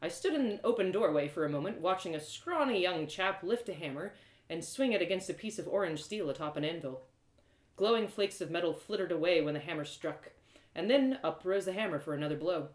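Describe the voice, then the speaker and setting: Give me a woman storyteller reading scripted first-person narration aloud. Her delivery is expressive, with lively, wide pitch movement, and the room around her is audibly echoey. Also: a little fast. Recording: roomy, echoing live reading.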